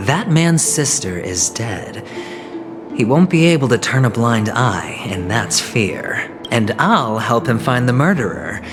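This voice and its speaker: A man voicing a smooth, scheming young male gambler in a game, playful and calculating. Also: mid-range voice, big pitch swings, unhurried. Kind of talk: game voice acting. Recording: game audio with music behind.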